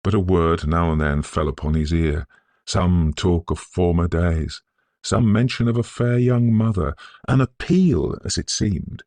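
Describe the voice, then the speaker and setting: A man with an extremely low, creaky voice narrates a gothic tale in a close, dry recording. His delivery is scholarly and measured, full of atmosphere, as in a classic dramatic audiobook. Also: darkish tone, no room sound. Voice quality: gravelly, husky. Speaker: narrator of a Victorian ghost story.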